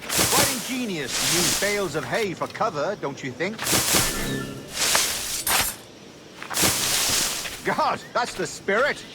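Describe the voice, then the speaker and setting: An actor playing a refined, ruthless British naval captain in a video game, speaking in a clipped, formal, haughty way. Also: male voice, sits high, very animated, moderate pace, heavy background noise. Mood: appraising. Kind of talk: video game cutscene acting.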